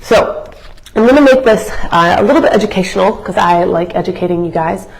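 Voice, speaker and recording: Woman, young adult, raspy, hoarse from illness, fitness instructor, chatty live class, educational, fillers and restarts. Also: fairly low pitch, brisk, low-quality recording. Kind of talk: live workout class, explanatory.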